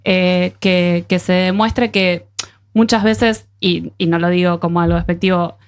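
A woman's voice: on the low side, fairly even intonation, measured pace, clean tone. A woman with an Argentine accent hosting a show in a casual, colloquial and encouraging conversational way. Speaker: radio show host.

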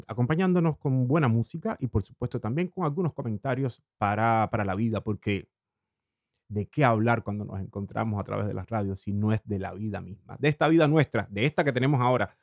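A man from Cuba, hosting a morning radio program in a slow, lingering monologue with hesitant fillers. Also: medium pitch, no echo, studio quality. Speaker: radio host.

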